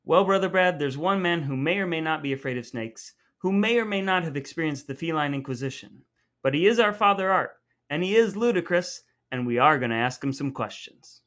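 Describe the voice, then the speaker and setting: A man's voice: a little high, animated, brisk, fairly bright tone. A man co-hosting a podcast, reading playful scripted patter in a mock-formal, wordy manner. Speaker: podcast co-host. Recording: music playing behind the voice.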